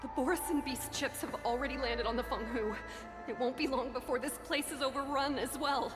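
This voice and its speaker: A woman's voice: high-pitched, fairly even intonation, fairly quick, close to an everyday voice. An actress playing a grave, shaken young woman bringing word from a battlefield in a video game, heard in long reverb. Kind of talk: dramatic video game voice acting. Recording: long cavernous reverb, music.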